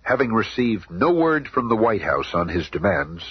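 A solemn, theatrical narrator of a superhero radio serial. His lowish voice swings dramatically through each phrase, over a noisy old recording. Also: very animated, moderate pace, lo-fi sound. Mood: grave, dramatic.

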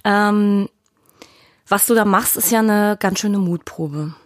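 A woman's voice: mid-range voice, slow, no room sound. A woman hosting a podcast interview in a studio, speaking in a conversational way.

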